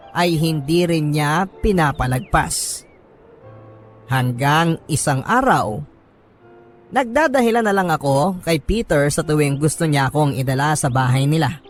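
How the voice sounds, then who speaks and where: A Filipino man reading a first-person story as a radio host, in a measured, sentimental dramatic narration with pauses. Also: a little high. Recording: music bed under the voice. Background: background music.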